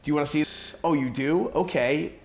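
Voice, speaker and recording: Enthusiastic man pitching in a casual interview. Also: brisk, a little hiss or hum. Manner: enthusiastic, salesy. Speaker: guest, animation and comics producer promoting a crowdfunding campaign. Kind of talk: casual promotional interview chat.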